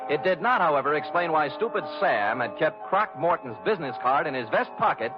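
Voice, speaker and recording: An actor playing a sardonic, deadpan private detective in a 1940s-style radio noir drama, narrating in the first person. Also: male voice, a little high, fairly quick. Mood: wry narration. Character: wry, hard-boiled male private detective. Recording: dull vintage radio recording with music.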